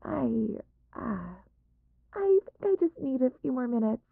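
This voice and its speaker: A woman performs a sweet, insecure young mage girlfriend in an audio drama. Her creaky voice is timid and apologetic, stumbling shyly over words in a dry recording. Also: sits high, sing-song, a little slow, no room sound, phone-quality sound, heavily acted. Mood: flustered. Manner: hesitant, timid, stammering. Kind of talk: scripted audio roleplay.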